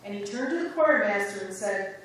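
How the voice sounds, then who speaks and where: A woman's voice: mid-range voice, expressive, brisk, recorded from a distance, slight background noise. Woman reading in a big echoey church. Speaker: church reader. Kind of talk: reader's theater narration. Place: large church sanctuary.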